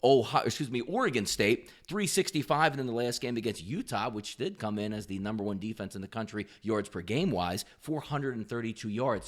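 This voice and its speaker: A man hosting a sports betting picks show, delivering commentary in an upbeat, emphatic, salesman-like way. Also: medium pitch.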